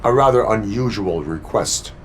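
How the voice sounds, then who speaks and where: Male actor as cyborg police officer, very low voice, terse, flat, dutiful, video game acting, faint noise behind. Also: lightly acted. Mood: stern, businesslike. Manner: terse, flat, deliberate, stoic.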